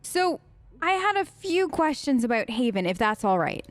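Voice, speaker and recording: A woman's voice: high voice, sing-song, normal speed, no room sound. A woman improvising in character for a video game let's play, chatty and hesitant, with an awkwardly polite manner.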